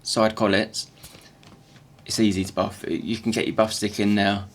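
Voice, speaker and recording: Halting man with a croaky gravelly voice. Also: lowish voice, level tone. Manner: halting, with many repeated words and restarts. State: croaky morning voice. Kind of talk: casual workshop tutorial voice-over.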